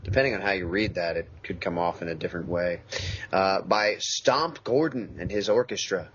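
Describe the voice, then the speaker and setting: A man's voice: low voice, normal speed, fairly bright tone, slightly rough. A man co-hosting a podcast with dry, self-mocking humor. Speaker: podcast co-host. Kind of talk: casual chat. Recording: slightly muffled podcast audio.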